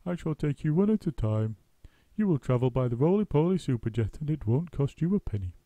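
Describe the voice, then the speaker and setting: A man voicing a kindly, knowing bird who talks calmly and reassuringly. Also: a little high, a little fast, no room sound, voice pushed up.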